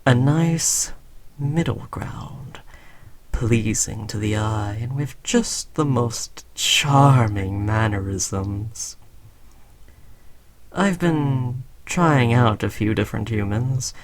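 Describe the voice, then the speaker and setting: A man playing a smug, condescending alien conqueror in an audio roleplay, talking slowly to a human servant with taunting, patronizing fondness. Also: mid-range voice. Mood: coaxing, condescending. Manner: slow, taunting, patronizing praise. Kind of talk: scripted audio roleplay addressed to the listener.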